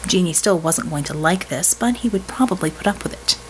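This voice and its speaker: A woman's voice: on the low side, animated, brisk, slight background noise, rough recording. A woman reading scripted fiction as an audiobook narrator.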